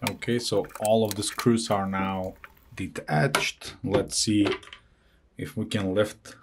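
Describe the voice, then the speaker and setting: A man hosting an electronics repair video, walking through a teardown at an unhurried pace and thinking aloud as he goes. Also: on the low side, level tone, noisy background. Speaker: electronics repair channel host. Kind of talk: hands-on repair walkthrough.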